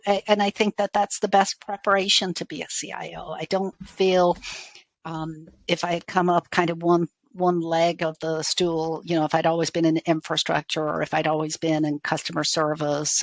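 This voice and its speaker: Middle-aged woman, low-pitched, softened Irish accent. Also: dry recording. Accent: faded Irish. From Dublin, Ireland. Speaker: university IT executive, interview guest. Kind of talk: conversational interview answers.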